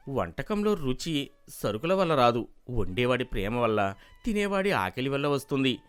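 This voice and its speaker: A man voicing a humble, wise household cook, respectful and earnest, in an animated moral story. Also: medium pitch.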